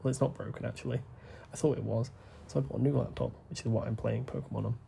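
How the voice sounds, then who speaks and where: Soft-spoken young man, gravelly. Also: mid-range voice, steady tone, a little hiss or hum. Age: young adult. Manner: soft, deliberately hushed talk with frequent fillers. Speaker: gaming YouTuber. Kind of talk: rambling gameplay commentary.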